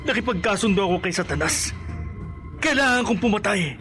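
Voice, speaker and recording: An anguished, menacing killer husband. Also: male voice, high-pitched, expressive, brisk, over music. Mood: defiant, desperate. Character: desperate murderous husband bound by a pact with Satan. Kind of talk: Filipino radio horror drama acting.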